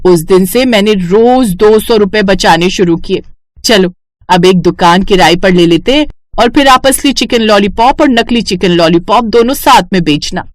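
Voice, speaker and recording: A woman playing a vain, sharp-tongued village wife in an audio story. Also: mid-range voice, normal speed, no room sound, lightly acted. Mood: earnest.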